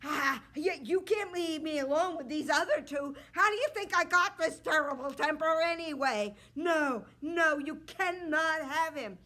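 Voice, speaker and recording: A woman's voice: extremely high pitch, normal speed, sharp tone, pitched above the performer's own voice. A woman voicing an old king with a terrible temper who growls and blusters. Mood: angry.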